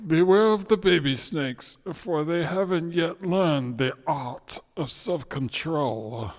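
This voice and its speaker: Slow, haughty, boozy glutton. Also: male voice, on the high side, animated, pitched above the performer's own voice. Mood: menacing. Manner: haughty, drawling, demanding. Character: fleshy, beer-flushed, gluttonous boorish male customer. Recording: long reverberant hall effect.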